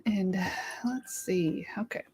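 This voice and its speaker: A woman's voice: medium pitch, measured pace, very noisy. A woman co-hosting a casual livestream, chatty and giggly, thanking viewers as she goes, her voice starting to give out. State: losing her voice. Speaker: livestream co-host.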